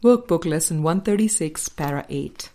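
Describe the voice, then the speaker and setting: A woman narrating a spiritual book aloud in a measured, deliberate way, pausing between phrases. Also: sits low, expressive, dark tone. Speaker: audiobook narrator.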